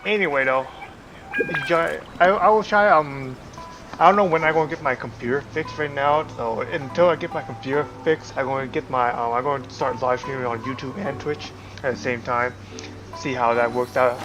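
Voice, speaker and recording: Casting: male game streamer, mid pitch, casual halting commentary, loud game music behind. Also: poor audio. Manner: halting, thinking aloud. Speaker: game livestreamer. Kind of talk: casual live gameplay commentary. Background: video game music.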